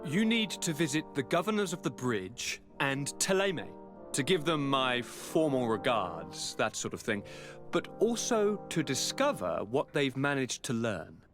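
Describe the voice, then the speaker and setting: An actor playing a courteous, theatrical young noble governor in a video game, with flowery, aristocratic manners. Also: male voice, mid-range voice, big pitch swings, a little slow, music in the background. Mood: courteous, breezy.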